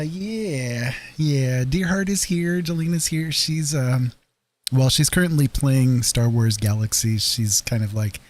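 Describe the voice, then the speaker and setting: A man's voice: mid-range voice, a little mellow, slight background noise. A man hosting a late-night livestream from his rural home, chatting casually with viewers, rambling with many fillers and frequent laughs. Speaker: livestream host.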